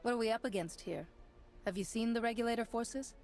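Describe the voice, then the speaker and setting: A woman's voice: on the high side, a little mellow, natural voice. Woman as composed Jedi heroine, faint music. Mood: assured. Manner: measured, assured, authoritative. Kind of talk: video game cutscene acting.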